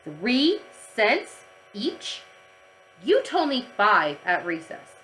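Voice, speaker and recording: Woman as Leslie, an animated, stubborn little schoolgirl. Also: mid-range voice, very slow, slight background noise, heavily acted, poor audio. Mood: indignant. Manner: earnest, insistent.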